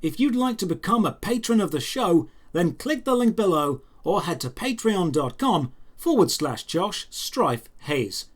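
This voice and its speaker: Male narrator, high-pitched for a man, dramatic scripted storytelling, first-person fantasy audio drama. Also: big pitch swings, measured pace, few pauses.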